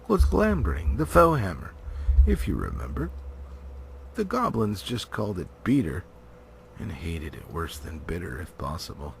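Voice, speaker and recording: Male storybook reader, deep-leaning and creaky, dramatic and emphatic, some background noise. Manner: theatrical, emphatic, with varying volume. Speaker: amateur audiobook reader.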